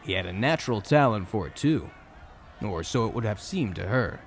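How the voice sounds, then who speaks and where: A man's voice: on the low side, animated, moderate pace, creaky. A man narrating a scripted fantasy audio drama in a dramatic storytelling style. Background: music.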